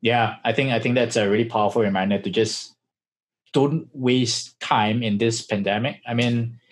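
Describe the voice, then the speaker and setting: A man's voice: medium pitch, steady tone, normal speed. A man hosting a casual interview over a video call, encouraging and filling with frequent backchannels. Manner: encouraging, many backchannels and fillers. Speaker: host.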